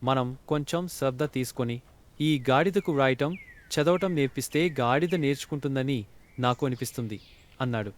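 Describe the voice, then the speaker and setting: A man's voice: mid-range voice, normal speed, slight background noise. A male storyteller narrates a scripted folk tale. His voice sits in a middle register.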